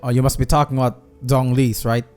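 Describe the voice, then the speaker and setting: A man's voice: medium pitch, little pitch movement, a little fast. A man giving casual gameplay commentary, chatting informally to his viewers. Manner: chatty, addresses viewers as guys. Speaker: gaming streamer. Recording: game music behind the voice.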